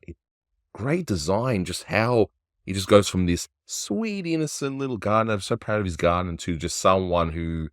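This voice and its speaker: A man hosting a casual cartoon review, talking in a relaxed, offhand way and chuckling often. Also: deep voice, no room sound, clean studio sound. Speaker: animation reviewer, host.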